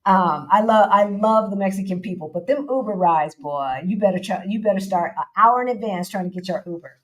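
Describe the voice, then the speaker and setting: A woman's voice: mid-range voice, a little fast. A woman hosting a casual live-stream interview, chatty and repeating phrases, with loose casual slang. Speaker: host.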